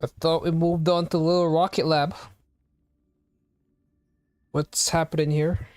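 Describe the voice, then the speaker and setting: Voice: mid-range voice, level tone, a little slow, a little mellow, no echo. A live streamer chatting casually to viewers, rambling and firing off questions to chat. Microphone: home streaming microphone.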